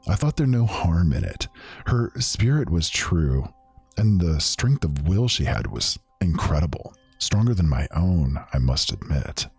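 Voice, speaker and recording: A man playing a wistful old mage, recounting his past in formal, archaic storytelling with a rough voice. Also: deep voice, big pitch swings, unhurried, darkish tone, no echo, faint music behind, full character voice. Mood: fond, solemn. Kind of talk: fantasy audio drama monologue.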